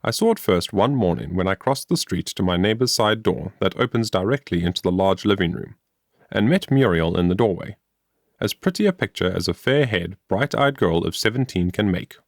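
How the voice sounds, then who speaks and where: A man with a low, slightly gravelly voice reads a story in a measured storybook manner. His intonation moves freely up and down as he narrates, giving the scripted prose an expressive shape. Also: dry recording, clean studio sound. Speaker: audiobook narrator.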